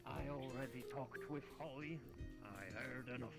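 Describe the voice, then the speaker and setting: A man voicing a stern barman in improvised online game roleplay, scolding in a deliberate, pompous way. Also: medium pitch, creaky, close to an everyday voice. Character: stern, grumbling male barman, disappointed in troublemakers. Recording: music behind the voice.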